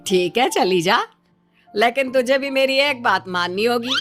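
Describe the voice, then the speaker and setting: A woman's voice: on the high side, unhurried, a bit bright, soft background music. A woman voicing a nagging, exasperated middle-aged mother in a dramatized moral story. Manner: scolding, nagging.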